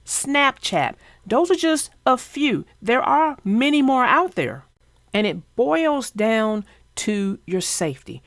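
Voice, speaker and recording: A woman reads aloud and explains things to children as their storyteller. Her voice sits fairly high and swings widely in pitch, with a noticeable creak. She is emphatic and instructive, recorded close and dry. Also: dry recording. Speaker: children's storybook narrator and host.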